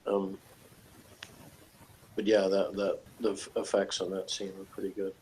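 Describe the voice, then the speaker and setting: Guy with a lowish voice rambling through a casual show review, bit of noise behind him. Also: completely flat delivery, a little slow, darkish tone, low-quality recording. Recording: faint background noise.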